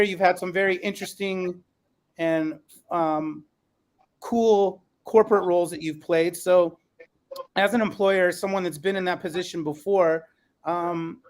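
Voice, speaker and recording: A man hosting a podcast panel as an educator, speaking in an earnest, emphatic way. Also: sits high.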